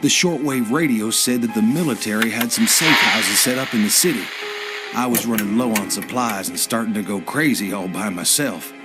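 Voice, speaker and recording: A man's voice: fairly low pitch, normal speed, natural voice. An actor playing a plainspoken rural American farmer who survived a zombie outbreak, narrating his haunted story for a video game in a folksy way. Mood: steady, weary. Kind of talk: scripted first-person game narration. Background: music.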